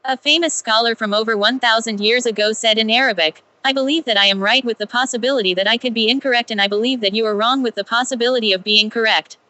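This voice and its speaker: A woman's voice: fairly high pitch, fast talker, few pauses, bright, forceful tone, poor audio. A woman reading prepared text aloud in a scripted style.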